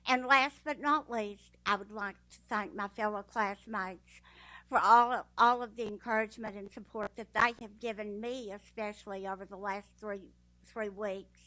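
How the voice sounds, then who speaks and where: A woman with a Texas accent giving a slow, heartfelt graduation speech with fillers. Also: mid-range voice, steady tone, pushed and bright, poor audio. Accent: Texan. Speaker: guide dog graduate. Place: ceremony room.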